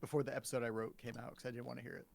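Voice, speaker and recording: A man's voice: mid-range voice, flat delivery, rapid-fire, creaky, a little mellow. A man hosting a casual livestream, bantering with a dry, joking manner. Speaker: show host.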